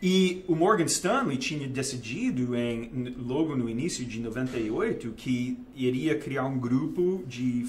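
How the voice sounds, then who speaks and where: A man's voice: medium pitch, expressive, unhurried, hardly any pauses, a little hiss or hum. A man in his forties from Texas, speaking Portuguese with a Texan American accent, hesitant, with many fillers and restarts. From United States, grew up in Dallas. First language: English. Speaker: guest, investment manager. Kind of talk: casual interview.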